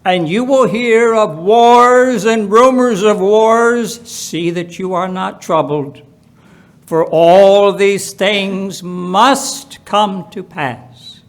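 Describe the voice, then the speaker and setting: A man reading scripture aloud in a solemn, deliberate way, with emphatic phrasing and pauses. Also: sits high. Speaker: Bible reader at a church.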